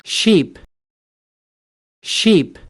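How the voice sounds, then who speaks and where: A woman announcing single vocabulary words slowly and deliberately. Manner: slow, deliberate single-word reading. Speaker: word announcer.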